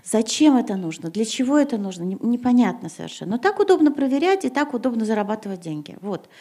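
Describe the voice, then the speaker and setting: A woman's voice: on the high side, sing-song, fast, dark tone. A middle-aged woman teacher speaking at a panel discussion before an audience, hesitating with many fillers and then pressing her points with emphasis. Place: gymnasium hall in front of an audience.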